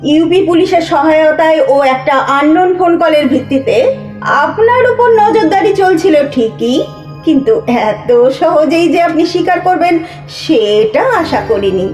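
A woman's voice: sits high, animated, moderate pace, darkish tone, echoey room, soft background music. A woman playing a stern, sarcastic police officer in an audio drama, commanding and scornful. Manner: commanding, scornful, drops in English phrases.

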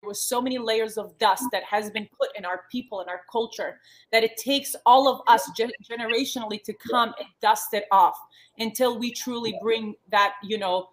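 A woman in her thirties talks earnestly over a laptop video call from her living room. She circles back often, restarting phrases and filling pauses as she speaks. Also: fairly high pitch, steady tone, poor audio. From born in the States, raised in Yugoslavia. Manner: earnest, many restarts and fillers. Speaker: panel guest, former model. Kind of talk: panel discussion on a video call. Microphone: laptop webcam on a video call.

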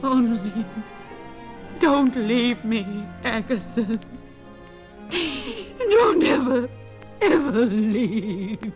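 A woman's voice: fairly high pitch, expressive, takes it slowly, long pauses, a bit bright, faint music behind. A woman playing a timid, nervous middle-aged spinster schoolteacher in a character monologue, who stammers and frets. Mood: pleading. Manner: stammering, fretful, gushing.

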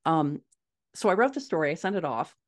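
A middle-aged woman answering interview questions conversationally, telling stories in an animated way on a video call from a room at home. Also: sits low, brisk. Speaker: podcast guest, indie author. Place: small furnished room at home, indoors.

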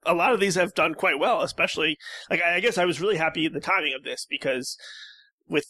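Bright-voiced man in casual discussion. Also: fairly high pitch, fast, dry recording. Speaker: podcast guest.

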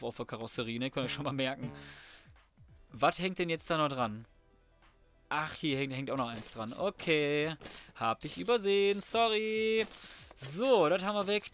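A man's voice: fairly high pitch, a bit bright. A man giving casual let's play commentary, colloquial and mildly sweary, often muttering to himself. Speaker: gaming video host.

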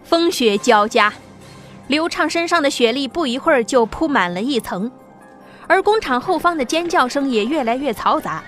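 A woman narrates a science fiction audiobook in a steady, dramatic read. Her voice sits fairly high. Soft music plays quietly behind her. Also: moderate pace. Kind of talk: scripted narration.

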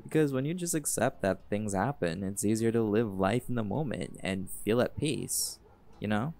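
A man's voice: on the low side, moderate pace, gravelly, a little hiss or hum. A man voicing a chill flirty jaguar shifter. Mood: laid-back. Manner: easygoing, teasing, relaxed drawl with laughs. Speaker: voice actor in audio roleplay. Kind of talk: audio roleplay, speaking to listener.

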